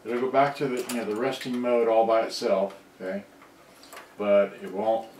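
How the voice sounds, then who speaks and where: Low-voiced man, distant in an echoey room. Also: fairly even intonation, a little mellow. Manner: halting, rambling, thinking aloud. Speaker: hobbyist electronics repairer on a livestream. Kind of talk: unscripted casual live demonstration. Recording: distant mic, echoey room, background noise.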